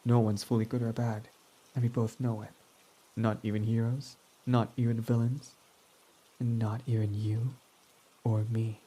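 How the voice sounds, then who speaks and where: A man's voice: medium pitch, little pitch movement, a little slow, long pauses, mellow, breathy edge, rough recording. A man voicing a prickly, snarky male villain in an ASMR roleplay, talking in a sarcastic, mocking, dismissive way.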